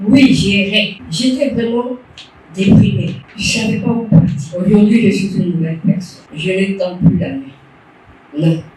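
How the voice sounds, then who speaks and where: A woman's voice: mid-range voice, measured pace, a little mellow, a little hiss or hum. Fervent woman in her fifties. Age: 50s. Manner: fervent, emphatic, repeating declarations. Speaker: member giving a testimony. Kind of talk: emotional public testimony. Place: meeting hall. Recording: echoey hall from far off.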